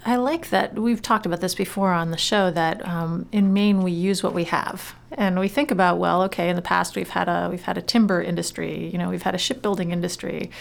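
A woman's voice: on the low side, fairly even intonation, a little fast, creaky, few pauses. A woman hosting a talk show, interviewing in a conversational style.

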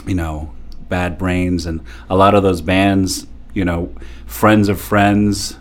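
A man in his forties from Japan, a DJ guest telling stories in an animated, laughing way with lots of fillers. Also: deep voice, takes it slowly. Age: 40s. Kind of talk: casual interview storytelling.

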